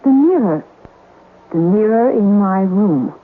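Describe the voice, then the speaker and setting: A woman's voice: mid-range voice, expressive, slow pace. Solemn ghostly woman, tinny old radio. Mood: ominous, challenging. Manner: formal, grave, ominous speech. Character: ghost of a sea captain's wife, solemn, warning. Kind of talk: 1970s radio drama acting. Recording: thin phone-like old radio recording, hiss.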